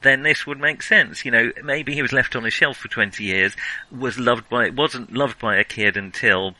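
Bright, forceful man thinking aloud. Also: medium pitch, normal speed, dry recording, rough recording. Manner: musing, thinking aloud in tangents. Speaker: podcast co-host. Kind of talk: casual film discussion.

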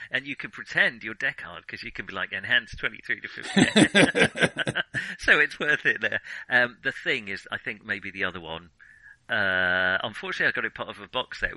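A man's voice: on the low side, sing-song, moderate pace, bright, forceful tone, no room sound, poor audio. A middle-aged British man chatting casually as a podcast co-host, reflective and ready with his opinions. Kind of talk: casual chat about films.

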